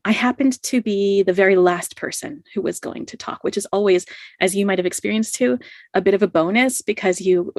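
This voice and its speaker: A woman's voice: medium pitch, fairly quick, darkish tone, dry recording, clean studio sound. A middle-aged woman telling a personal story as a podcast guest, laughing often and filling with "you know" and "like". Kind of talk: casual storytelling in an interview.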